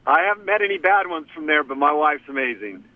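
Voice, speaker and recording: A man's voice: a little high, expressive, quick pace, no echo. A sheriff from Arizona in his fifties, talking earnestly and plainly as a guest calling into a show. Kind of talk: casual chat over the phone. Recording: tinny phone line.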